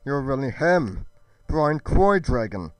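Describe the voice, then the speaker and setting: A man's voice: medium pitch, big pitch swings, slow pace, no echo, recorded from a distance, faint music behind, voice pushed up. A man playing a devoted young guard, earnest and formal, with a reverent way of talking. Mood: awed, earnest.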